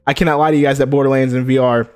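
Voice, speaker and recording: A man's voice: mid-range voice, little pitch movement, rapid-fire, a little mellow, clear, resonant tone, soft background music. A young man hosting a casual gaming news live stream, hyped and chatty, greeting viewers in chat by name. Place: home streaming setup. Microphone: desk mic.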